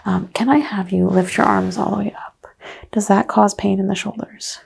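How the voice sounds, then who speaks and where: A soft-spoken woman playing a chiropractor in an ASMR roleplay, hushed and often drifting into a whisper. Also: mid-range voice, fairly even intonation, normal speed, vocal fry, husky. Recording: faint background noise.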